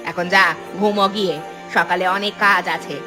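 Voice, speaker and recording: A woman's voice: medium pitch, fairly even intonation, moderate pace, music in the background, lightly acted. A woman voicing a harsh, bossy older mother-in-law in a village cartoon, scolding and commanding. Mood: stern scolding. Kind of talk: cartoon voice acting.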